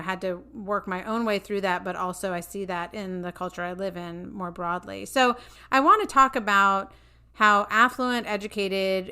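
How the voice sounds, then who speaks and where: A woman's voice: mid-range voice, sharp tone, clear, resonant tone. A middle-aged woman hosting a podcast, asking earnest, thoughtful questions in a conversational interview. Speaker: podcast host.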